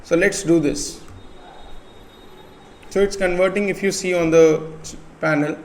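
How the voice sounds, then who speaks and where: A man with an Indian accent presenting a step-by-step software tutorial. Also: sits high, fairly even intonation, a little slow.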